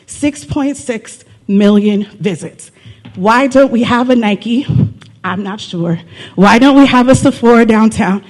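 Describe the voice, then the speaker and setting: An upbeat speaker at a conference delivers a city pitch with a mid-range voice. The talk leans on rhetorical questions and quick repeated figures. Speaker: city presenter.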